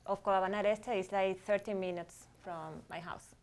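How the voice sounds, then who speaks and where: A woman from Cuba speaks as a guest doctor on a talk show. She lays out her ideas on emotions and behavior step by step in a steady, explanatory way. Her voice sits at an ordinary middle pitch. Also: fairly quick.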